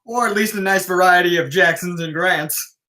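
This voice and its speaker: An actor in a script table read playing a brash, showy race announcer who teases the crowd. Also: male voice, high-pitched, normal speed, pushed and bright. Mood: showy, teasing. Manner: showy, teasing announcer delivery.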